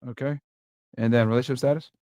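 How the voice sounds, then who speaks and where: A man's voice: mid-range voice, fairly even intonation, quick pace, warm tone. A man hosting a podcast, questioning guests in a blunt, teasing way. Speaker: podcast host. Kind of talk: casual interview questioning.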